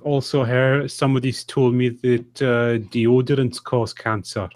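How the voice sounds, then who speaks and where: A man's voice: mid-range voice, flat delivery, measured pace, darkish tone, dry recording. A man chatting casually and conversationally as a podcast co-host.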